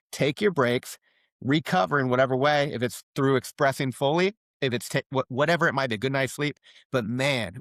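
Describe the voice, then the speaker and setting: A man hosting a podcast, with a raspy voice, talking emphatically and swearing casually. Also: mid-range voice, fairly bright tone, dry recording, studio quality. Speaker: podcast host. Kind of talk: casual conversation.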